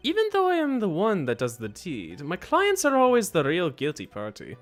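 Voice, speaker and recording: A man's voice: high-pitched, wildly swooping pitch, moderate pace. Man putting on cold, theatrical hired killer. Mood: indignant, cold. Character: cold, formal male hired killer with a code. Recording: music behind the voice.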